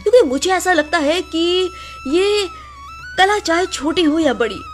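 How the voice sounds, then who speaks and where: A woman's voice: very high voice, animated, measured pace, faint music behind, pitched above the performer's own voice. A woman voicing a kindly, admiring male fashion designer in a cartoon story.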